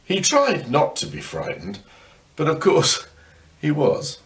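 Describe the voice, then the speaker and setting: Slow-paced older male storyteller, distant mic. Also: fairly high pitch, wildly swooping pitch. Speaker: storyteller reading aloud. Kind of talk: narrated children's ghost story. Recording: distant microphone.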